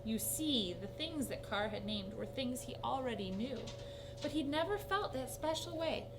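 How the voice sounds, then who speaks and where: A woman reading a rhyming children's story aloud in an animated, theatrical way. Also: high-pitched, moderate pace. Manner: animated, theatrical with character voices. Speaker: storybook reader. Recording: noisy recording with steady hum.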